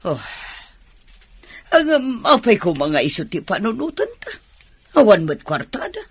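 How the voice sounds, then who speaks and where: A woman's voice: mid-range voice, huge pitch swings, a little slow, breathy edge, exaggerated voice. An actress playing a stern, unbending, curt woman running things at a medical center in an Ilocano radio drama. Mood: defensive, uneasy.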